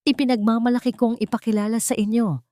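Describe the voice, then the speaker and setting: A woman with a Filipino accent voicing a calm, earnest older male tycoon in a dramatic audio story. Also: fairly high pitch, no echo, studio quality, voice pushed up, close to an everyday voice. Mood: solemn, earnest. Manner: formal, measured, earnest.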